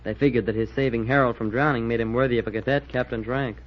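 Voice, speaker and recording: An actor playing a chummy, teasing teenage military school cadet in an old-time radio serial, with an American accent. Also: male voice, medium pitch, fairly even intonation, fast talker, close to an everyday voice. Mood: confiding. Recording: dull, noisy old radio recording.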